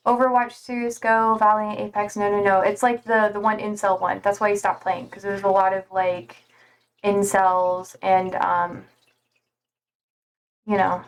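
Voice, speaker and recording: A woman's voice: mid-range voice, level tone, a little slow, clear, resonant tone. A young woman streaming live, chatting casually and reading out viewer comments in a slangy, rambling way full of "like".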